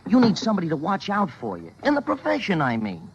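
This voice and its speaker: A man's voice: high voice, huge pitch swings, fast talker, full character voice. An actor playing a leering, pushy young theater call boy with slangy wise-guy talk in an old-time radio drama. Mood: wheedling. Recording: muffled, noisy vintage radio broadcast.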